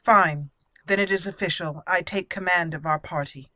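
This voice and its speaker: A woman hosting a role-play game playthrough, speaking deliberately and theatrically in character, with casual asides. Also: on the low side, sharp tone, no echo. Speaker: gaming channel host.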